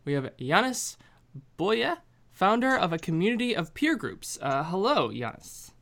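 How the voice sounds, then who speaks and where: American male host, slightly high pitch; rambling, halting delivery with many restarts. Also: big pitch swings, creaky, a bit bright. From United States. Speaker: podcast host. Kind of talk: casual podcast chat.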